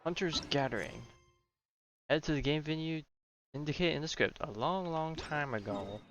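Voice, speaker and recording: A man's voice: fairly high pitch, moderate pace, a bit bright, a little hiss or hum. A man casually commenting while playing a video game, laid-back and chatty. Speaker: gaming video host. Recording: dull lo-fi voice over game music.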